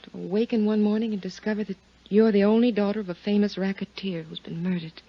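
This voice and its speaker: An actress playing a composed, quietly intense grieving daughter in a 1950s radio drama, measured and restrained. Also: female voice, mid-range voice, fairly even intonation, lightly acted. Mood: wistful, searching. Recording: muffled vintage radio recording.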